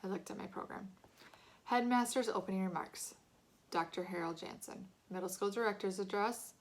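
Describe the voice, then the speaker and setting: A woman reads a children's novel aloud. Her voice has a medium pitch, and she narrates the scripted prose in an expressive storytelling manner. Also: moderate pace. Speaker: audiobook-style reader.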